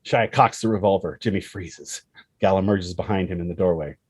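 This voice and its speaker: A man narrates the stage directions of a script. His voice is fairly low and husky. He reads the action dramatically, in short, punchy phrases. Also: a little fast, dry recording. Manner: punchy, present-tense action reading.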